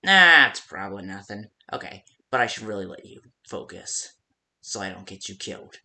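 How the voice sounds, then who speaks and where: Rambling, halting man with a lowish voice. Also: sing-song, sharp tone. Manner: rambling, halting, self-interrupting with stammered restarts. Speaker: creator of a fan-made game parody, voicing its phone-guide messages. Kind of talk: casual, loosely improvised phone-call style game guide narration.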